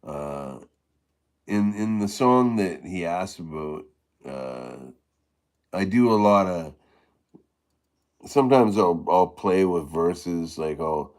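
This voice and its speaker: A middle-aged man with a very deep, low voice talks slowly and loosely in a casual stream chat. He rambles, stalls on fillers and restarts his sentences as he goes. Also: lively intonation, a little mellow. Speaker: harmonica player giving tips.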